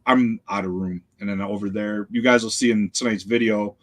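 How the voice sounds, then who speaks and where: A man's voice: lowish voice, steady tone, normal speed. A middle-aged man hosting a casual video chat from his home collection room, rambling easily and trailing off with "so". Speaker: podcast host.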